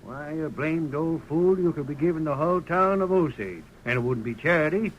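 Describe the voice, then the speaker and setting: A hearty, blunt man of the American frontier, as a male actor plays him. His voice sits somewhat high and his talk is emphatic and rustic, in old radio drama style with a slightly noisy recording. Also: moderate pace, dull audio, natural voice. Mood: hearty, generous. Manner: emphatic, folksy frontier grammar. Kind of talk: 1930s radio acting.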